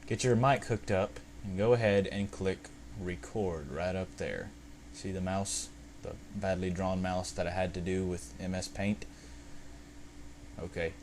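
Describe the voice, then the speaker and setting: A man with a somewhat low voice narrates a software tutorial step by step. His delivery is informal and unscripted, explaining things casually as he goes. The amateur home recording carries a faint, steady fan-like noise behind him. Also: measured pace. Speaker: video tutorial presenter.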